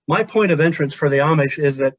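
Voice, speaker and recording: Chaplain guy presenting on a webinar, somewhat high voice, measured and earnest, dull dry sound. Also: no echo. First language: Pennsylvania Dutch. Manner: measured, earnest, with pauses and restarts.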